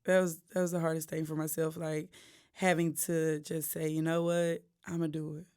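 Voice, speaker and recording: A woman's voice: normal speed, clean tone. A woman, a gospel singer talking casually as a podcast guest and acting out what people said to her. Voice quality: deep voice. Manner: animated storyteller, acts out quotes.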